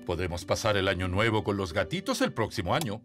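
A man's voice: on the low side, big pitch swings, fast, music in the background. A man voicing a jovial, kindly father cat in a Latin American Spanish children's cartoon dub. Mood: gently apologetic.

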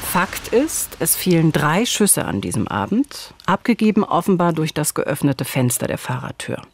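A woman's voice: sits low, big pitch swings, moderate pace, slightly rough. A woman in her forties presenting a true-crime story as scripted narration in dialogue, at a studio microphone. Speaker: true-crime podcast host. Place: small dark studio. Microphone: studio boom microphone, headphones.

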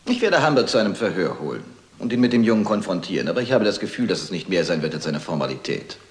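A man's voice: sits low, big pitch swings, quick pace, reverberant. An actor playing a sceptical male police inspector in a detective drama, dry, official and condescending. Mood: smug, dry. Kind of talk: German detective film dialogue.

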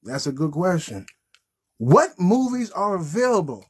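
A man's voice: high-pitched, sing-song, unhurried, breathy edge. A man in his thirties hosting a children's puppet show in casual, improvised chat.

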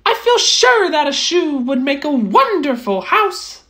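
Storybook mouse, played by a woman: small, eager, picky house hunter, pitched very high and swooping, musing aloud in exclamations. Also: big pitch swings, unhurried, a bit bright, full character voice. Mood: excited. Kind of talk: children's storybook character voice.

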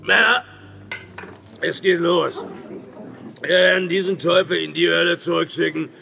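An actor playing a fierce, commanding captain who declaims loudly to his men in a horror audio drama. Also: fairly high pitch, fairly even intonation, unhurried, gravelly, slight background noise, full character voice. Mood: fierce, rallying. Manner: loud, declaiming, forceful.

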